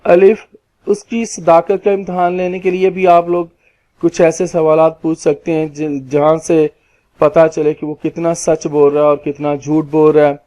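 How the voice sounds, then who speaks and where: A man with a Pakistani accent explaining legal points as a lawyer, in a formal, deliberate lecture style that sometimes repeats phrases. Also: fairly high pitch, little pitch movement, mellow, muffled recording. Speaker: lawyer giving legal lessons.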